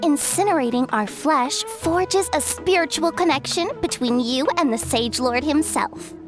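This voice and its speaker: A woman's voice: sits high, animated, a little slow, music in the background. A woman voicing a haughty, testy mushroom riddle-giver in a game, with formal, condescending delivery. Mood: solemn, pompous.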